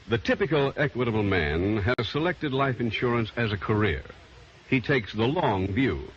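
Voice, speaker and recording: An American man delivering a polished, emphatic sponsor message in the style of old-time radio. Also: lowish voice. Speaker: commercial announcer. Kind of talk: 1940s-50s radio sponsor pitch. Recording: muffled old radio recording, faint hiss.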